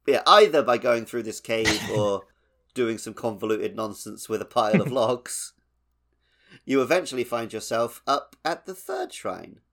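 A man's voice: mid-range voice, animated, moderate pace, hardly any pauses, fairly bright tone. A man hosting a gaming podcast in casual, conversational chat. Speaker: podcast host.

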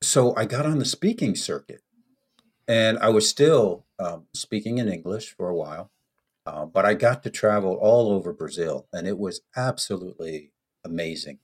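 An American man, a podcast guest, telling stories in an unhurried, anecdotal way with frequent ums. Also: low-pitched, slightly rough. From United States. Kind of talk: storytelling in a podcast interview.

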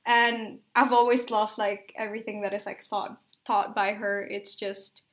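A woman's voice: mid-range voice, flat delivery, a little slow, fairly bright tone. A young woman from Indonesia, a university student guest, talking casually with many fillers like "um" and "like". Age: young adult. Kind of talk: casual chat.

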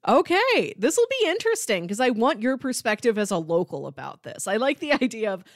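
Animated, higher-voiced woman in casual chat. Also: a little fast, dry recording, clean studio sound. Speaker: podcast co-host.

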